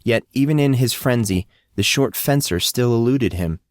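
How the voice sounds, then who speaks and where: A man's voice: medium pitch, lively intonation, moderate pace, dry recording, clean studio sound. A man reading scripted fiction as an audiobook narrator.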